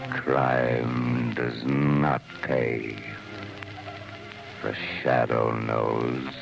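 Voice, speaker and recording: A sinister unseen avenger, gravelly, very slow, dull old radio with music. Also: male voice, extremely low pitch, flat delivery, heavily acted. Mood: menacing. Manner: slow, menacing, drawn-out.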